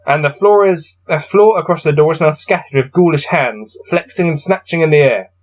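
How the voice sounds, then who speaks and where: A man's voice: on the high side, normal speed, poor audio. A man hosting a casual gamebook playthrough, reading passages aloud and adding wry, self-deprecating asides. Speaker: host playing through a gamebook.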